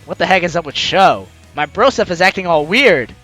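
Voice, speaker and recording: A man playing a visual novel, reading the text aloud with excitable, joking reactions and the odd stumble, over music. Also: high-pitched, brisk. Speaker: let's player. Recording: music behind the voice.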